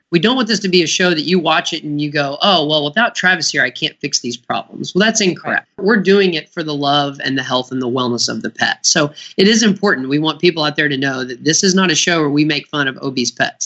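A man with a bright, somewhat high voice talks as a guest about dog training. He explains things earnestly and keeps slipping in fillers. The recording sounds dull and lo-fi. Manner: earnest, many "you know" fillers. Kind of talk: interview answers, promotional. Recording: muffled lo-fi audio.

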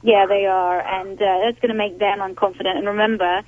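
A woman's voice: mid-range voice, level tone, a little fast. A woman dog trainer giving advice as a guest on a call-in radio show, explaining with plenty of fillers and restarts. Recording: tinny phone line, dry.

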